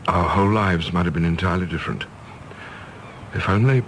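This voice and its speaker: An actor as a low, regretful English gentleman. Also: a little fast, natural voice, poor audio. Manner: formal, clipped, earnest. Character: earnest, regretful, disillusioned middle-aged English diplomat. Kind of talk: radio play acting. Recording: faint background noise and ambience.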